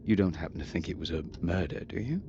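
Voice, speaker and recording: Stiff formal castle lord in a game, really deep voice, noisy audio. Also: male voice, lively intonation, dull audio. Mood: dismissive, guarded. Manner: formal, measured, dismissive. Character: aloof dismissive lord of an old castle. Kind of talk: scripted video game voice acting.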